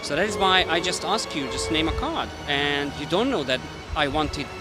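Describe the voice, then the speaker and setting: A man's voice: a little high, expressive, normal speed, loud music behind the voice. A man with a Hungarian accent, a mentalist explaining his card tricks casually to the camera while thinking aloud. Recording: street noise with passing traffic.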